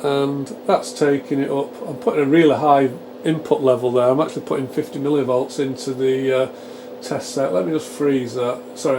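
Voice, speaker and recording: A man giving an informal technical demonstration in a workshop, thinking aloud and explaining step by step as he works. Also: medium pitch. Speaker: presenter of a radio repair channel. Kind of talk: informal technical demonstration, narrated live while working. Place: small home electronics workshop. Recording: steady fan and equipment hum. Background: cooling fans of test equipment.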